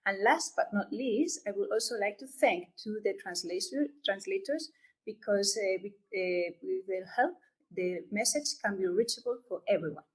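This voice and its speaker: A woman's voice: mid-range voice, expressive, poor audio. Spanish-accented woman hosting an online event. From Spain. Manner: halting, many fillers and tag "okay?". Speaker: event host. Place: home or office room. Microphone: video call.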